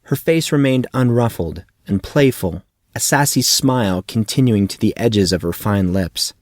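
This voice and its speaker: A man's voice: on the low side, expressive, moderate pace, no echo, studio quality. A man reading fiction aloud as a scripted audiobook narrator.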